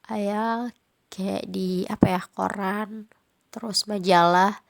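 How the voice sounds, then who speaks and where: A young woman with a Jakarta slang accent speaks in a relaxed, informal podcast chat. Her voice is audibly nasal and stuffed up from a cold. She leans on casual fillers as she talks, at a middle pitch. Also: takes it slowly, vocal fry. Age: young adult. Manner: chatty, informal, frequent fillers. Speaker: podcast host.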